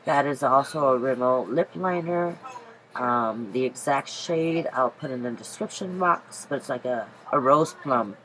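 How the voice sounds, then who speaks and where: A woman with a very low voice, congested from a cold, narrates her makeup routine. She rambles casually, pausing on ums and restarting her sentences. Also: fairly bright tone, poor audio. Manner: chatty, rambling, many ums and self-corrections. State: stuffy from a cold. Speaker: beauty vlogger. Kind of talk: casual makeup tutorial voice-over.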